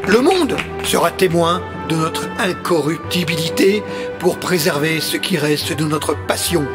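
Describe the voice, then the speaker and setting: A man's voice: a little high, expressive, unhurried, loud music behind the voice. A man playing a pompous, impassioned leader of an amateur resistance in an audio comedy drama, speaking in grandiloquent declamation. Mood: rousing, pompous.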